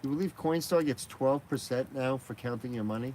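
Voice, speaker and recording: A man's voice: medium pitch, a little fast, a little mellow. Chatty man streaming from an outdoor fire. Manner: rambling, chatty, laughs easily. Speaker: live streamer. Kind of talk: casual live-stream chat. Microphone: phone on a gimbal. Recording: noisy outdoor phone stream.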